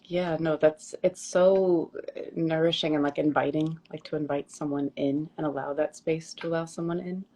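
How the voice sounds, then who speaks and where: Woman, interviewer, deep voice, even tone, gentle, affirming, livestream call. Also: normal speed. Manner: gentle, affirming, asks questions. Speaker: interviewer host. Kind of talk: conversational interview. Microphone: livestream video call.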